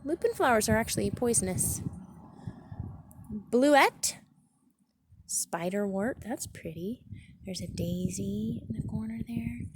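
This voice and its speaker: A woman with a mid-pitched voice reads a children's picture book aloud outdoors. She talks like a teacher, pausing to explain things in simple, chatty words for young listeners. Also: animated, a little hiss or hum. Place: outdoors in a backyard garden.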